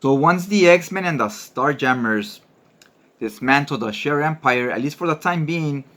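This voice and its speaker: A man's voice: on the high side, animated, measured pace, fairly bright tone. Informal man retelling a comic book. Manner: informal, slangy, conversational. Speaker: host, comic book narrator. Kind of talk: casual storytelling commentary on a comic.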